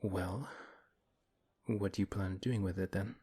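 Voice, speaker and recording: A man playing a big, principled, gently protective paladin in a fantasy audio roleplay, speaking in a measured, earnest way with hesitant pauses and a husky voice. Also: deep voice, fairly even intonation. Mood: serious, questioning. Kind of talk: fantasy audio roleplay, one-sided intimate conversation.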